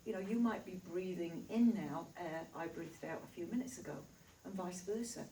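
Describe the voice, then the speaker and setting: A woman's voice: fairly low pitch, normal speed, dark tone, recorded from a distance, a little hiss or hum. A woman with a Yorkshire accent, a healer giving a chatty, reassuring talk to a live audience. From Yorkshire, England. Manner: chatty, reassuring, laughs easily. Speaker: spiritual healer. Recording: echoey hall recorded from afar.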